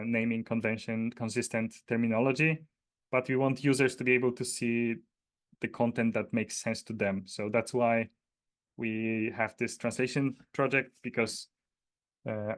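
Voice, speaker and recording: A man from Poland with a Polish accent, giving an informal technical walkthrough in a hesitant, explanatory way. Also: medium pitch. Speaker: presenter demonstrating a data dictionary.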